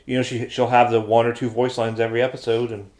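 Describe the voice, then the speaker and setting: A man's voice: medium pitch, little pitch movement, fast, a little mellow. A man hosting a podcast in a casual, chatty style. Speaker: podcast host. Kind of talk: casual chat.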